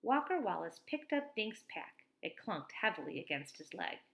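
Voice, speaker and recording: A woman in her thirties, a teacher reading a children's chapter book aloud from home into a webcam, with lively read-aloud delivery and quick asides. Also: medium pitch, gravelly. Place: small room at home. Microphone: webcam at desk.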